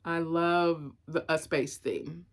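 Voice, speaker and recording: Woman, low pitch, casual vlog book review, informal, rambling, thinking aloud. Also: steady tone, slow pace.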